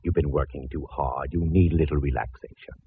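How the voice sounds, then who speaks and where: An actor playing a fussy, genteel, affectionate gentleman in a vintage radio detective drama, speaking effusively with endearments. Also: a bass voice, a little fast. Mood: soothing. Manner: effusive, fussy, calls people dear and love. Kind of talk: 1940s-50s radio detective drama acting. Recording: muffled, noisy vintage radio recording.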